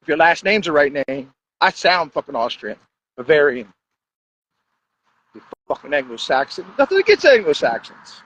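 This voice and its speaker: A man with a high voice delivers a profane, rambling monologue in a blunt, crude way. The sound is dull and lo-fi. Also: very animated, moderate pace, pauses often, fairly bright tone. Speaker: podcast host.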